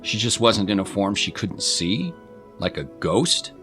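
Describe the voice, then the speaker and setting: A male narrator delivers a scripted dramatic story. His voice is low, and quiet music plays beneath the storytelling. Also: big pitch swings, a little slow.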